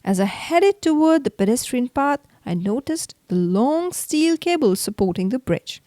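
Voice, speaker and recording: A woman reading a children's story aloud in an expressive, theatrical way. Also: high voice, measured pace, no echo. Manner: theatrical, acts out the exclamations. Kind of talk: expressive read-aloud narration for kids.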